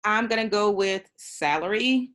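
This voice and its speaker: A woman's voice: mid-range voice, unhurried, fairly bright tone. A woman from Atlanta who has been teaching abroad for years, speaking in a matter-of-fact way on a video call. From Atlanta, Georgia, US. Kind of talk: casual video-call chat.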